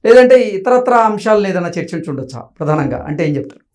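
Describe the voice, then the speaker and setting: A man's voice: sits high, sing-song, fast talker, darkish tone. A man hosting a news interview, putting long, formal questions to a guest. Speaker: interviewer, host.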